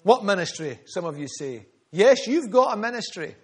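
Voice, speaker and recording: Male preacher, high-pitched, earnest storyteller. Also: very animated, normal speed, breathy edge. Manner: earnest, storytelling, repeats phrases for emphasis. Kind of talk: sermon.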